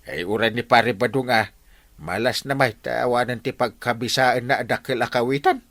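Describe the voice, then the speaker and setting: A man acting an expressive village gossip in a comedy radio drama, full of hesitant interjections. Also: mid-range voice, moderate pace, no echo, natural voice. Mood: commiserating.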